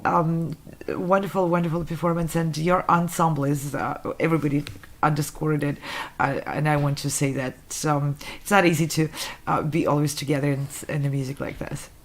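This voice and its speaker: A woman whose first language is Russian, moderating a music jury, giving gracious feedback with many pauses and fillers. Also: low voice, steady tone. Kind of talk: panel feedback and announcing.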